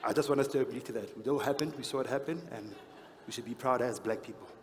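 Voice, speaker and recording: A man's voice: mid-range voice, fast talker. A man with a lisp hosting an awards ceremony in a playful, teasing, informal style. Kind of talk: informal, joking stage hosting. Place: large event hall, on stage. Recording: echoey hall, noticeable background noise.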